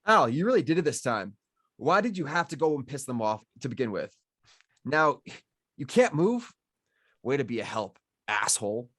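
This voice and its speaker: A man's voice: medium pitch, sing-song, moderate pace, a bit bright, no room sound. A man playing a weary, sardonic middle-aged drifter cowboy in an acted screenplay read. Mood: exasperated. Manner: sardonic, weary, rambling to his companion. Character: gruff middle-aged drifter cowboy, wry, talking to his robot buddy.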